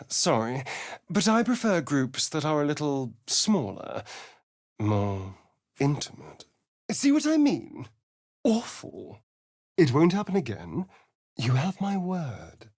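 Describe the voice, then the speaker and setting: An actor voicing a sardonic, foppish, flirtatious vampire spawn in a video game, with an arch, theatrical, languid drawl. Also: male voice, a little high, big pitch swings, slow pace, long pauses, no room sound, clean studio sound, full character voice. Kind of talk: video game character lines.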